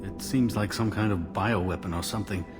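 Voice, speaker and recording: A man's voice: lowish voice, steady tone, a little fast, close to an everyday voice. An actor playing a wary, weary man sheltering in quarantined slums in a video game, speaking grimly and matter-of-factly. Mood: anxious, bitter. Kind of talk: video game dialogue acting. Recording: music playing behind the voice.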